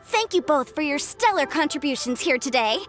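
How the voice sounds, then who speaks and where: A woman's voice: high-pitched, expressive, a little fast, a bit bright, faint music behind. A woman playing a young, upbeat contest officiator in a video game, speaking in formal, ceremonious announcements.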